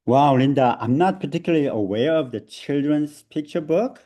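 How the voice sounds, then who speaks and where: A man from South Korea with a Korean accent, presenting an online seminar over a video call, laughing often and pausing on fillers. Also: a little high, expressive, warm tone.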